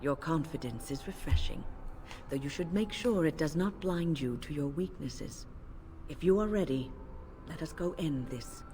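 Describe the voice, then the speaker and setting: An actress playing a grave, protective older female mage, speaking in a formal, measured way in a video game. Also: low-pitched, lively intonation, dark tone, slight background noise. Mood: resolute.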